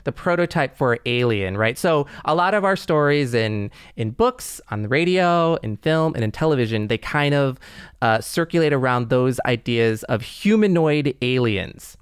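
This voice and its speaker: A man's voice: medium pitch, expressive, moderate pace, clear, resonant tone, no room sound, clean studio sound. A man co-hosting a podcast in a casual conversational style. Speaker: podcast co-host.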